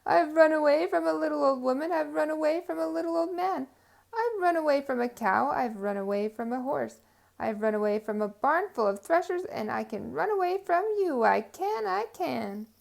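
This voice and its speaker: Storybook gingerbread boy played by a woman: small, gleeful, cocky, high voice, sing-song taunting. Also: animated, normal speed, creaky. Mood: boastful, excited. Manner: sing-song boastful chanting.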